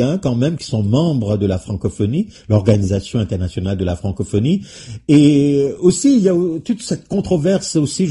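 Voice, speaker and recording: A man's voice: mid-range voice, dark tone, breathy edge. A man hosting a radio interview, reading out questions and asking long, rambling follow-ups. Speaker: radio host.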